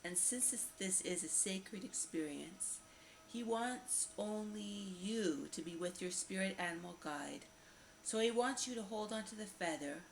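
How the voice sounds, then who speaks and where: A woman narrating a guided meditation visualization in a slow, repetitive, soothing manner with lingering pauses. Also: mid-range voice. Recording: roomy echo, faint background noise.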